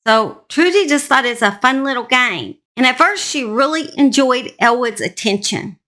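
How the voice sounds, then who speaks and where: A woman's voice: sits high, expressive, moderate pace, pushed and bright. A woman hosting and telling a true-crime story in a folksy, emphatic, conversational way. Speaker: host narrator.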